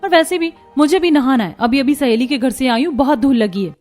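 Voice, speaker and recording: A woman's voice: high voice, fairly quick, a little mellow, soft background music, lightly acted. A woman voicing a carefree, cheeky young woman in a cartoon story, nonchalantly talking back. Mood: cheeky, defiant. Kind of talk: Hindi cartoon story voice acting.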